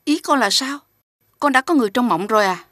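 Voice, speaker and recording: A woman voicing a gracious queen and mother in a children's cartoon, gentle and polite. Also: a little high, expressive, fairly quick, no room sound, studio quality. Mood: surprised.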